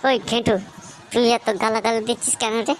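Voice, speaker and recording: Woman voicing a high-pitched, cheeky Bengali cartoon boy. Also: level tone, brisk, a little hiss or hum, natural voice, rough recording. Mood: grumbling. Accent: West Bengal Bengali. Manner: coarse, slangy. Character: foul-mouthed, cheeky village boy in cartoon. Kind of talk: comedy cartoon dialogue.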